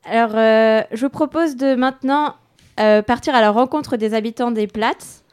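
A woman's voice: a little high, moderate pace, a little mellow, clean tone. A young woman hosting a radio programme, presenting conversationally with informal, hesitant links. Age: young adult.

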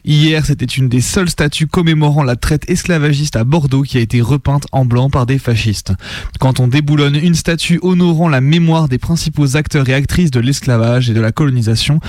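A man's voice: on the high side, a little fast, a little mellow, no room sound. A man with a French accent from France reading scripted radio editorials as a host, with a sardonic, engaged delivery. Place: radio studio.

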